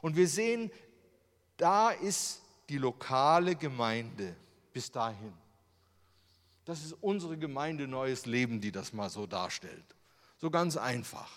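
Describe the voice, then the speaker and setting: A man's voice: mid-range voice, lively intonation, takes it slowly. A German man giving a sermon with slides, in a conversational way, full of rhetorical questions. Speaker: preacher. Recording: long hall reverb.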